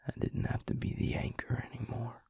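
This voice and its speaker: A man playing a tender, weary vampire lover in an intimate audio roleplay, speaking haltingly in a hushed, breathy, gravelly voice. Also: no echo, close to an everyday voice. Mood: raw, vulnerable. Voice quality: breathy, husky, gravelly half-whisper. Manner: hushed, halting, with long pauses.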